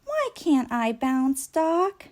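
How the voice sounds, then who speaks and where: A woman's voice: high-pitched, sing-song, very slow, darkish tone, pitched above the performer's own voice, heavily acted. A woman voicing a nervous, childlike male toy ball in a storybook, hesitant and full of protest. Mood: glum.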